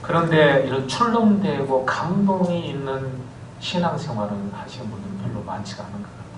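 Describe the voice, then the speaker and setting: A man preaching a sermon as pastor, telling stories with lively swings of voice and calling for amens. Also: mid-range voice, fairly quick, noisy background. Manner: animated storytelling preacher, calls for amens. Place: church sanctuary. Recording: echoey church hall from far off.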